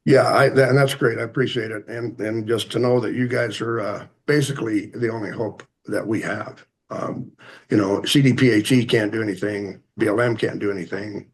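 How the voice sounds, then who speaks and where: An American man giving halting, plain-spoken public comment at a hearing, speaking earnestly and hesitantly. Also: lowish voice, steady tone, breathy edge. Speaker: member of the public addressing a commission.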